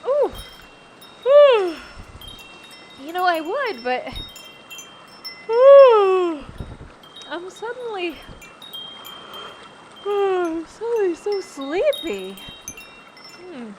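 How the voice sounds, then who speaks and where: Bubbly, scatterbrained pilot: extremely high voice, slow and dreamy. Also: female voice, very animated, darkish tone, slight background noise, heavily acted. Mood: woozy. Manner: dreamy, ditzy. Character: scatterbrained, sugar-addled, bubbly young woman pilot. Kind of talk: sci-fi comedy audio drama acting.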